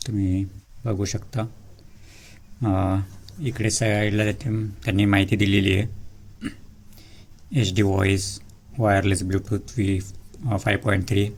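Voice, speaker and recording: Low, flat-voiced man unboxing gadgets. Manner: hesitant, many fillers and pauses; mixes English words into speech. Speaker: vlogger. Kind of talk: casual unboxing walkthrough.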